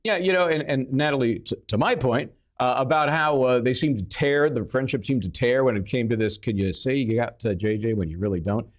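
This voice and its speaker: A man hosts a live legal discussion with a mid-pitched voice. He builds up his questions in an emphatic, halting way, often doubling back on words. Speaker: host of a legal discussion show. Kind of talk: live panel interview, posing questions to analysts.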